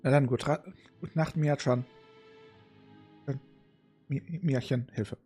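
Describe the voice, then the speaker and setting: A man commenting casually as he plays a video game. His mid-pitched voice drifts into muttered, playful asides and read-out dialogue, with faint game music behind. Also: steady tone, slow pace, mellow, slightly rough. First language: German. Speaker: gamer playing and commenting.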